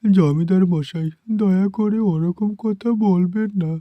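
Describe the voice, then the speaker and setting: A man voicing a poor, desperate village farmer in a cartoon, pleading and haltingly repeating his words. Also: high voice, no room sound, lo-fi sound.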